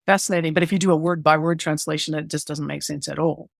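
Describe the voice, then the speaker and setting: A woman's voice: low-pitched, steady tone, fast talker, vocal fry, no room sound, studio quality. A woman hosting a podcast interview, curious and thinking aloud as she frames her questions. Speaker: podcast host.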